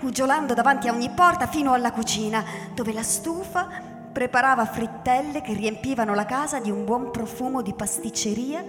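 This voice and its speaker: Female narrator for radio drama, expressive and highish, in deep reverb with music behind. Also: fairly quick. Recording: echoey, long reverb, music underneath.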